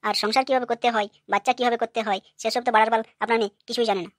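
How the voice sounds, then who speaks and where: Woman doing a rapid-fire, brash young son-in-law. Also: fairly high pitch, fairly even intonation, dry recording, clean studio sound, lightly acted. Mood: angry, ranting. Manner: brash, ranting. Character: foul-mouthed, hot-tempered, aggrieved young son-in-law. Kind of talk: cartoon comedy dialogue.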